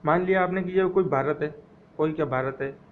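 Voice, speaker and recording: A man with a fairly high voice delivers an exam-prep economics lecture. He goes over definitions slowly, repeating key phrases so his students can memorize them. The sound is thin and phone-like, with a faint hiss underneath. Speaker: economics teacher.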